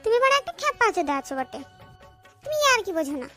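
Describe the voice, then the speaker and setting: Female cartoon dog, squeaky, sharp, melodramatic sweet talk, brash, music underneath. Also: big pitch swings, fast, heavily acted. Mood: coaxing, romantic. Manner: gushing, cajoling, coarse colloquial talk. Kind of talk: comic cartoon dub, melodramatic romance.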